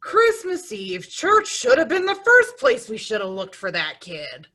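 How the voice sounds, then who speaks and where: A woman's voice: sits high, wildly swooping pitch, measured pace, sharp tone, heavily acted. A woman playing a polite, grave police patrolman in a radio play. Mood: grave, official.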